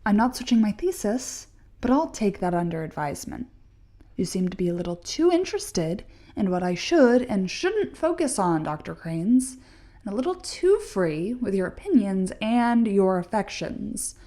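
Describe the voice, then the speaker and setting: A woman's voice: medium pitch, very animated, normal speed, dark tone. A woman in an audio play acting an earnest, sceptical young mycology student who speaks precisely and emphatically, sounding unsettled. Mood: cold, offended.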